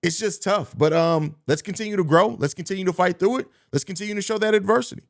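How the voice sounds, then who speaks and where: A man hosts a live sports reaction stream, reading viewer comments one after another and answering each in an easygoing, talkative way. His voice sits a little high for a man. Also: quick pace, creaky. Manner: conversational, reads viewer comments and riffs on them. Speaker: sports podcast host.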